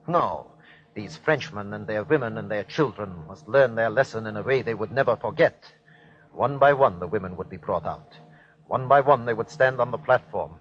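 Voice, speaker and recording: A man acts out a ruthless German army captain in an old radio play. He declaims and commands in clipped, fanatical style. The recording is dull and low in fidelity, as vintage broadcasts are. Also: lightly acted. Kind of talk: old-time radio drama acting.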